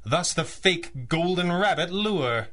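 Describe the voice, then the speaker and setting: An actor playing a composed, wry masked hero in a 1930s-style radio drama, speaking in a formal, theatrical manner. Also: male voice, high voice, lively intonation, slow pace, a bit bright, full character voice. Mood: smug, wry.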